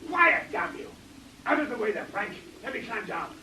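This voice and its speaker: A man's voice: very high-pitched, very animated, normal speed, vocal fry, noisy background, full character voice. An actor playing a defiant man cornered by police in a radio drama, snarling and insolent. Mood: angry defiant. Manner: aggressive, insolent.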